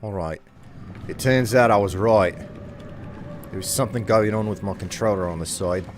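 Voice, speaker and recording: A married man streaming a game, rambling casually and self-deprecatingly with halting restarts. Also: lowish voice. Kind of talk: casual gameplay commentary.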